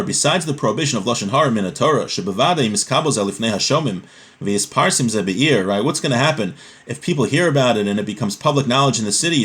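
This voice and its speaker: A male religious teacher with an average-pitched voice gives a lesson on a text. He reads out short passages, then translates and explains them. His manner is didactic, and he often checks in with the listener. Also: a bit bright.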